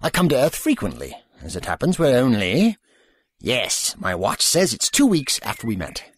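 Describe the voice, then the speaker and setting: An actor playing an eccentric, absent-minded old time traveler with fussy, courtly phrasing in an audio drama. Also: male voice, medium pitch, wildly swooping pitch, moderate pace, husky, no room sound, clean studio sound. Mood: fussy, breezy.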